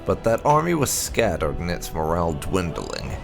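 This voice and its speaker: A man reads game lore aloud in a low, gravelly voice with plenty of fry. His delivery is measured and storyteller-like, rising and falling in a lively way with emphatic phrasing. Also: faint music behind. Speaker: gaming channel host. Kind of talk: reading game lore aloud as scripted narration.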